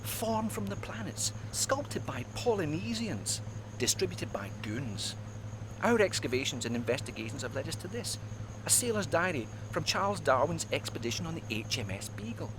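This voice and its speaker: A man playing an urbane, eager scientist in a video game, speaking formally and theatrically with clear articulation. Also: a little high, very animated, moderate pace, noisy background. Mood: grandly explaining. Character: urbane, eager scientist doctor recruiting an adventurer. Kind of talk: dramatic video game cutscene acting.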